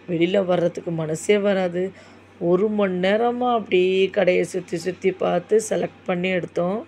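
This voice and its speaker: A woman's voice: fairly low pitch, darkish tone, slight background noise. A woman casually narrating her shopping trip in a chatty, enthusiastic way. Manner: chatty, enthusiastic, repeats "super super". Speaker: vlogger.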